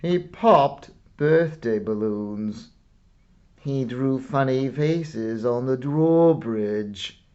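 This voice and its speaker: A man reading a children's picture book aloud in a theatrical, expressive way with dramatic pauses. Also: mid-range voice, lo-fi sound. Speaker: storybook reader.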